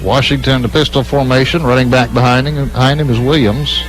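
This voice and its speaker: A male radio announcer delivers live football play-by-play at a middling pitch. He follows the action as it unfolds, in the manner of a game broadcast. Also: fairly even intonation, a little fast, music in the background, rough recording.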